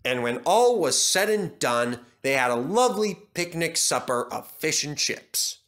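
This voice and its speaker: A man's voice: fairly high pitch, very animated, a little slow, fairly bright tone. A man reading scripted narration for a children's story as the storyteller.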